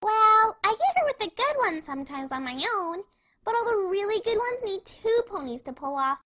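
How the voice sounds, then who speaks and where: An excitable cartoon pony mare who loves pranks. Her voice is extremely high and bright. She talks in gushing exclamations with a bouncy, eager manner. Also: normal speed, exaggerated voice. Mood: excited, cheerful.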